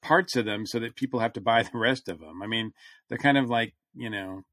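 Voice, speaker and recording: A man co-hosting a podcast, chatting casually about fan news in a halting, hedging way. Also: mid-range voice, fairly bright tone, dry recording, clean studio sound. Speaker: podcast co-host.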